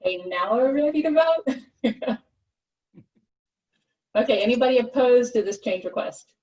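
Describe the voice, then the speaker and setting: A woman's voice: on the high side, animated, measured pace, darkish tone. A woman chairing a committee conference call, running procedural discussion and votes. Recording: muffled, distant conference call audio.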